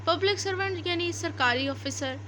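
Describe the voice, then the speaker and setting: Female lecturer, Pakistani accent, high voice, teacherly explaining with repeated key points, slight noise behind. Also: moderate pace, fairly bright tone. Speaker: law lecturer. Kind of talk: explanatory lecture, reading clauses then explaining. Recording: faint background noise.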